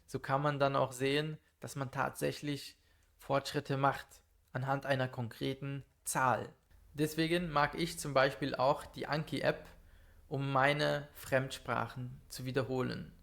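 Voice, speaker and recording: A man teaching German in a calm, methodical monologue, speaking slowly and clearly for learners. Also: fairly high pitch. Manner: calm, methodical, lists numbered tips.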